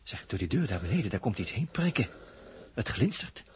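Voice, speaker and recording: A man acting a curious, astonished crewman in a 1960s Dutch radio play, observing in halting wonder, on a vintage recording. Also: fairly low pitch, big pitch swings, slight background noise. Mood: puzzled.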